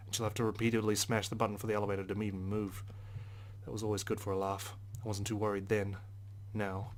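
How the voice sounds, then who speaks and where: A man's voice: on the low side, pushed and bright, a little hiss or hum. A man hosting a game playthrough, reading narration aloud expressively and dramatically. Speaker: gaming video host. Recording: game music behind the voice.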